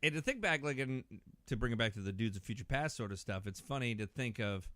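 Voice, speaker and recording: A man's voice: medium pitch, lively intonation, quick pace, a bit bright, dry recording. A man chatting casually as a podcast guest, talkative and given to joking.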